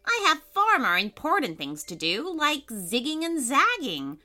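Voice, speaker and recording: A woman's voice: high voice, huge pitch swings, measured pace, bright, forceful tone, pitched above the performer's own voice, heavily acted. A woman voicing a prim, self-important female bat in a children's story, fussy and scoffing. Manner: fussy, pompous.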